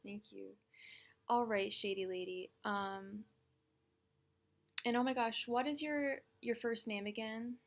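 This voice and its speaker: This is a young woman hosting a casual tarot reading livestream. Her voice sits slightly high and has a gravelly fry to it. She talks fast and chatty, with frequent laughs and greetings to her viewers. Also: steady tone.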